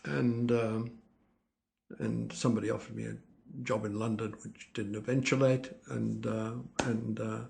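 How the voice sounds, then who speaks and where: An older man, a winemaker guest speaking in a hesitant, halting way and often restarting his sentences. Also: on the low side, level tone, creaky, a little mellow. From Italy, raised in Australia. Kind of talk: conversational interview.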